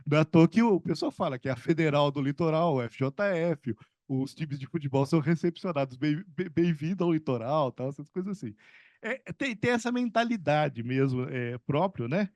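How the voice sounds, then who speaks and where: Middle-aged man from são paulo, economics professor on a panel, rambles with lots of fillers, warm mellow tone, dry sound. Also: on the high side, expressive, normal speed, no echo. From São Paulo state, Brazil. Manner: rambling, many fillers and restarts, chuckles. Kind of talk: conversational talk at a panel.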